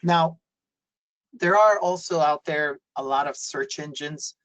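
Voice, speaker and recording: A man's voice: fairly high pitch, slow pace, a bit bright, no echo, dull audio. A man, raised in Italy, giving a webinar presentation on a video call and explaining things with many fillers and restarts. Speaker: university presenter.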